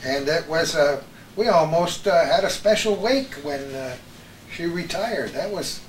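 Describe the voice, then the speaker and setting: A man's voice: fairly high pitch, expressive, measured pace. An American man hosting a casual, reminiscing chat as interviewer. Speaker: host of a chat show. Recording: roomy, distant mic, faint hiss.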